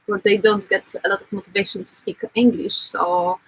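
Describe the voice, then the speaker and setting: A woman whose first language is Polish, co-hosting a casual livestream from a boat, talking haltingly with many fillers. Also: medium pitch, flat delivery, a bit bright, breathy edge, low-quality recording. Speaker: co-host of a sailing channel livestream.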